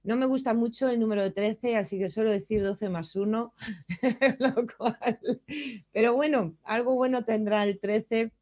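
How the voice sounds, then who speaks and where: A middle-aged woman from Germany telling her personal work story in an earnest, reflective way, often pausing to hesitate. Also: fairly high pitch, steady tone, dull audio. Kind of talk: personal testimony.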